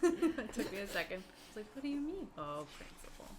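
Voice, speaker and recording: High, animated woman player. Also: fairly quick. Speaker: tabletop game player. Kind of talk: casual, reactive table chat. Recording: loud background chatter.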